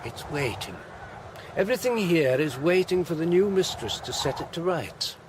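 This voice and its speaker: An actor playing an older, protective clergyman uncle in a radio drama, measured and proper, with an English accent. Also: on the high side, animated, background noise, close to an everyday voice. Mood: musing, avuncular.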